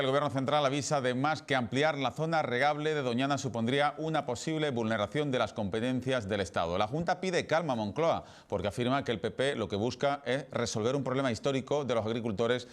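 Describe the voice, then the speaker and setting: A man fronts a regional news show. His voice sits at an ordinary middle pitch, and he reads his scripted headlines with firm emphasis. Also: fast talker, few pauses. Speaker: news host.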